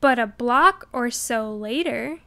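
A woman with a high, bright, very clear voice reads a children's story. She narrates extremely slowly and expressively, shaping each phrase with care. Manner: deliberate, expressive enunciation. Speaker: storybook reader. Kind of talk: children's read-aloud narration.

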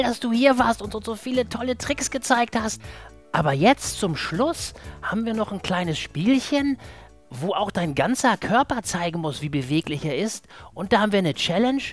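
A woman voicing an excitable, playful, cheese-loving mouse who hosts a children's show with an animated, eager delivery. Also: medium pitch, natural voice. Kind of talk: lively children's show presenting. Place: small indoor TV studio set. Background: background music.

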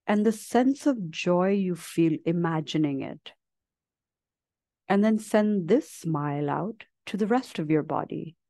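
A woman leading a guided meditation as a teacher, speaking gently and leaving long, unhurried pauses between phrases. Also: lowish voice, animated, dry recording, studio quality. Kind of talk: guided meditation, slow soothing instruction.

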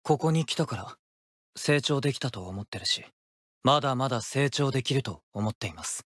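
A man voicing an earnest, quietly ambitious teenage boy ace pitcher in an anime-style game. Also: lively intonation, moderate pace, no echo, clean studio sound, faint music behind. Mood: quiet resolve.

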